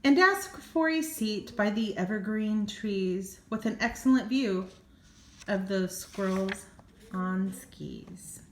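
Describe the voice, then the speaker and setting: A woman's voice: medium pitch, takes it slowly, a little hiss or hum. An older woman, a grandmother, reading a children's story expressively with small asides, in an echoey room. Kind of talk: children's storybook read-aloud.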